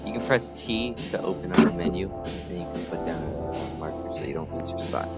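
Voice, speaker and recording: A man's voice: deep voice, a little fast, over loud music. A man casually chatting while playing a game, explaining how it works to a friend. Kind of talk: casual gameplay chat.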